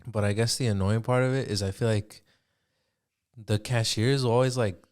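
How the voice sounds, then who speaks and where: A man's voice: fairly low pitch, fairly even intonation, moderate pace, darkish tone. A man in his early twenties chatting casually as a podcast co-host, with a hesitant, rambling, slangy way of talking. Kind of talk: casual conversational chat.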